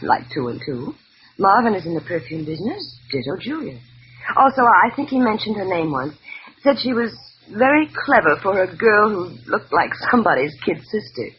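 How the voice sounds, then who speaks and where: An actress playing a coolly flirtatious, glamorous widow in a 1940s radio noir drama, coy, teasing and smoothly evasive. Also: female voice, mid-range voice, very animated, moderate pace, lightly acted. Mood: coy, amused. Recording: noisy, muffled vintage radio recording.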